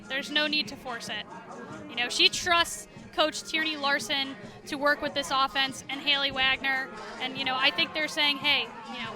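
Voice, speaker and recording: An American woman giving conversational, explanatory color analysis during a live sports broadcast. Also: high-pitched. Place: outdoor stadium broadcast position. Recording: crowd and music behind, outdoors. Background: crowd and music.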